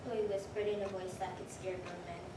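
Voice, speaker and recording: A woman's voice: medium pitch, little pitch movement, fairly quick. A woman narrating a scripted story for a reader's theater performance. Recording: distant mic, very echoey room, noisy.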